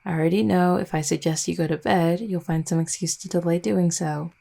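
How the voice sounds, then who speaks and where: A woman's voice: fairly low pitch, steady tone, brisk, vocal fry, warm tone. A woman playing an affectionate, teasing vampire girlfriend in an intimate roleplay audio, speaking in a tender, conversational way. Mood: playful coaxing.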